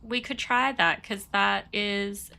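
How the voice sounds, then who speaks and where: A woman's voice: medium pitch, steady tone, takes it slowly, creaky, pushed and bright. A woman streaming a game and chatting casually, sniffly from a cold, rambling and laughing often. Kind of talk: casual chat while playing.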